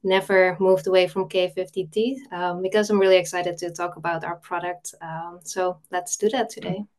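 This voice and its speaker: A woman's voice: on the low side, level tone, normal speed. A woman from the Netherlands with a Dutch accent, introducing herself cheerfully on a webinar. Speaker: product manager.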